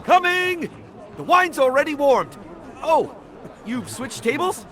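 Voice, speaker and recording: Eager-to-please game waiter, bustling, very high animated voice, faint crowd around. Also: male voice, takes it slowly, exaggerated voice. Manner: eager, servile. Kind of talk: video game cutscene acting.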